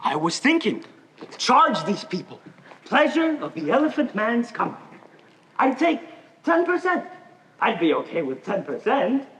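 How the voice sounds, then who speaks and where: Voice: medium pitch, big pitch swings, unhurried, long pauses, a bit bright. A teenage male student acting on stage as a wheedling, sly showman down on his luck. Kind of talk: high school stage play acting. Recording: distant mic with clear background noise.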